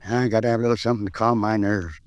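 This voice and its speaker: An actor playing a shaken, folksy liquor store owner in a 1950s radio drama, rambling in clipped phrases on a noisy old recording. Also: male voice, fairly low pitch, steady tone, racing, natural voice. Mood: rattled.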